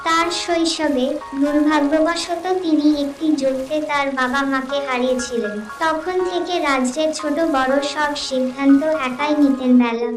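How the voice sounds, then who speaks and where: A woman narrates a children's fairy tale in a very high voice. The recording is roomy and a little distant. Music plays clearly behind her. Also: steady tone, moderate pace.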